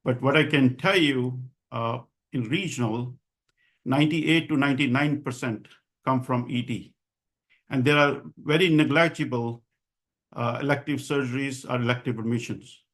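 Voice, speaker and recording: A man's voice: medium pitch, moderate pace, fairly bright tone. A man speaking as a physician and association leader, delivering a formal advocacy speech in an earnest, insistent, emphatic way. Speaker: physician and association president giving a public address.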